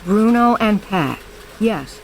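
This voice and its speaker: A woman's voice: mid-range voice, lively intonation, slow pace, slight background noise, rough recording. Actress as guarded, curt young woman in hiding. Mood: dry. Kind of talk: audio drama acting.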